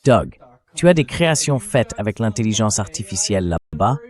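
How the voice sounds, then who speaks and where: A man's voice: on the low side, sing-song, normal speed, darkish tone. A man's synthetic dubbing voice hosting a political interview. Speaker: interview host. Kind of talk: dubbed interview hosting, read by an AI voice.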